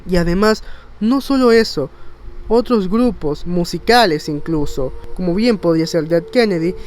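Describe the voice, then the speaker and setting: High-pitched man narrating emphatically over faint music. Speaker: narrator of a video essay channel. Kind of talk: scripted video essay narration with personal opinion.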